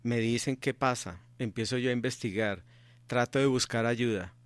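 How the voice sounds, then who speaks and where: A man's voice: medium pitch, moderate pace, dry recording. A middle-aged man from Colombia, answering interview questions earnestly and repeating his key points for emphasis. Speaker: interview guest, activist father.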